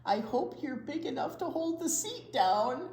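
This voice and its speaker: A woman's voice: high-pitched, lively intonation, unhurried, a little mellow, voice pushed up. A woman voicing an affectionate, playful elderly grandfather from a children's storybook. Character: affectionate elderly grandpa who loves opera. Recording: roomy echo, faint background noise.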